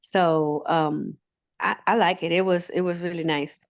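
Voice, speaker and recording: Low-voiced Puerto Rican woman in her fifties. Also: fairly even intonation, measured pace. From Puerto Rico. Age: 50s. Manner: warm storyteller with many "um"s, laughing often. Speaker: guest. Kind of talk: casual interview talk.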